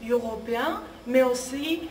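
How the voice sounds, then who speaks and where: A woman's voice: high-pitched, unhurried, darkish tone, a little hiss or hum. Young woman, student interview, careful, hesitant, roomy echo, distant mic. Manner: careful, with many hesitant fillers. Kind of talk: documentary interview.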